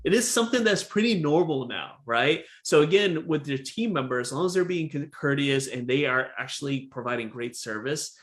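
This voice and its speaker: A man's voice: on the high side, moderate pace, few pauses. A man hosting a dental podcast over a video call, chatting casually and often saying "again" and "right?". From Texas. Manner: animated, repeats "again" and "right?". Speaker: podcast host, dentist. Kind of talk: casual interview chat.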